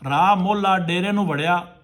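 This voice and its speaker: A man's voice: sits high, moderate pace, fairly bright tone. A man telling a folk tale to listeners as a storyteller, in short rustic phrases.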